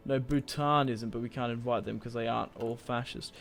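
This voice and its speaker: A young man chats through a strategy game at an ordinary mid pitch. He rambles, swears casually and keeps it loose. Soft game music sits faintly behind his close desk mic. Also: fairly even intonation, brisk, gravelly. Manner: chatty, rambling, swears casually, laughs at own moves. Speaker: gaming YouTuber. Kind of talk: casual let's-play commentary.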